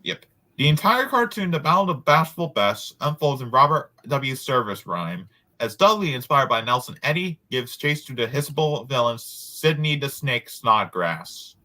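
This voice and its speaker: A man reads aloud in a bright voice with lively swings in pitch. He drops in casual asides, and now and then trips over a word and says it again. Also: normal speed. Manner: stumbles over words and repeats them. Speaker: host of a group read-aloud.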